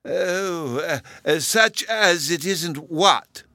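Doddery storybook academic: high-pitched, hesitant, fussy, absent-minded, closely recorded dry sound. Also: male voice, animated, slow pace, pushed and bright, no room sound, heavily acted. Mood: befuddled. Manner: stammering, muttering, chuckling hesitation. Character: absent-minded, dithering old male professor, peevish.

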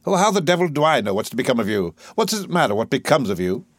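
A man's voice: mid-range voice, sing-song, normal speed, no room sound, studio quality, voice pushed up. A man playing an arrogant, impatient male professor with an imperious, condescending, exclamatory way of talking in a play reading. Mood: wrathful, impatient.

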